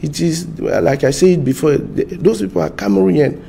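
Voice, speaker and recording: Gravelly guy stumbling thru english answers, dark low tone. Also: big pitch swings, a little hiss or hum. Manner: halting, repeats words, searches for English. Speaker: party spokesman. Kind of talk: studio interview answers.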